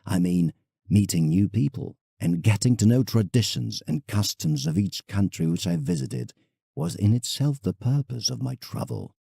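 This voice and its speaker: A man's voice: on the low side, animated, moderate pace, creaky, warm tone, husky, no room sound, studio quality, heavily acted. A man playing a proud, reflective English landscape painter in an audio drama, telling his travels formally as a letter to a friend. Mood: fond, teasing.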